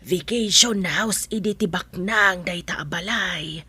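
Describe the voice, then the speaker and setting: Husky, protective Ilocano village mother. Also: fairly low pitch, a little slow, sharp tone, heavily acted. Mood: concerned. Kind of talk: comedic radio drama acting.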